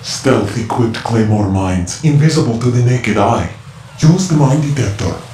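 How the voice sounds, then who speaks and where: An actor playing a cryptic, mysterious secret informant in a video game, terse and deliberate. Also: male voice, mid-range voice, expressive, warm tone, lightly acted. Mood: cryptic, warning. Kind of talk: dramatic game voice acting. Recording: echoing voice, noise behind.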